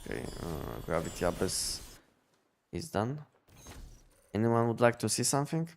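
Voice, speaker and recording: Male game streamer, lowish voice, casual halting delivery, faint background noise. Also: big pitch swings, gravelly. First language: not English. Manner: halting, repetitive, filler-heavy explanations. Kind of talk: casual livestream chat.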